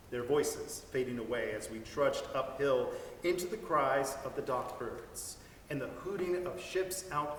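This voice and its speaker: A man giving an expressive, savoring dramatic reading of a story. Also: mid-range voice, slight background noise. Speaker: reader. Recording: echoey hall from far off.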